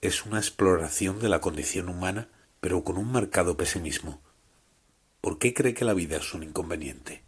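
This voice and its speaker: A man with a very deep and heavily husky voice hosts a scripted interview. He puts his questions in a dry, wry way, with an ironic edge. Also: moderate pace. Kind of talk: scripted mock interview for an audiobook.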